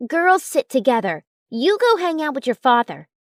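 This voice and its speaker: A woman's voice: sits high, big pitch swings, normal speed, dry recording, studio quality, pitched above the performer's own voice. A woman voicing a caring but firm werewolf mother in a story. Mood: scolding.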